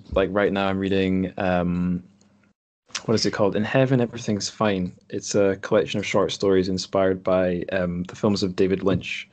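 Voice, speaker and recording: Low-voiced man, chatting casually. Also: a little mellow. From Scotland. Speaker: podcast host. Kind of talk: casual conversation. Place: group online call.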